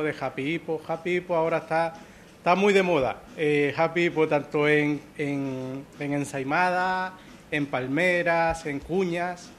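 A man's voice: a little high, a little hiss or hum. A man from Ecuador, the manager of a pastry shop, talking in an interview with many fillers and restarts. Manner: hesitant, repeats words, many fillers. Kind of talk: interview soundbite.

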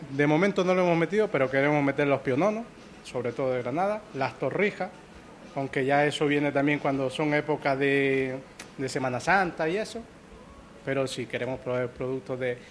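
A man's voice: on the high side, a little hiss or hum. A man from Ecuador, the manager of a pastry shop, talking in an interview with many fillers and restarts. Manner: hesitant, repeats words, many fillers. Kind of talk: interview soundbite.

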